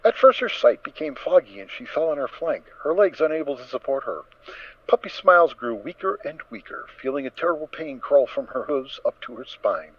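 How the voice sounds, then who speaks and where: Male narrator for dramatic fiction, middle register, measured and emphatic, dry slightly muffled home-style sound. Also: medium pitch, very animated. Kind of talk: dramatic scripted story narration. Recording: dull, dry lo-fi recording.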